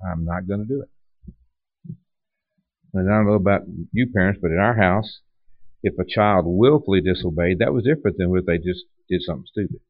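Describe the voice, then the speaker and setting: A man's voice: sits low, a little fast, long pauses, no room sound. A man preaching a sermon in a conversational teaching style, often restarting his phrases. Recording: dull lo-fi dry recording.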